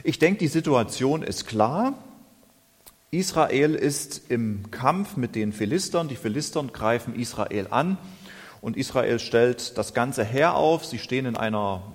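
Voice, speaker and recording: A man from Germany preaching a sermon in a slow, deliberate and thoughtful way. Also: mid-range voice, expressive. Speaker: lay preacher. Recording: reverberant hall.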